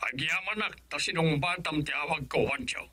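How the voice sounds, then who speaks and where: Stern, high-pitched Ilocano father. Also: fairly quick, pushed and bright, dry recording. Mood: cold, suspicious. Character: stern, wrathful father resentful of his son-in-law. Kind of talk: Ilocano radio drama acting.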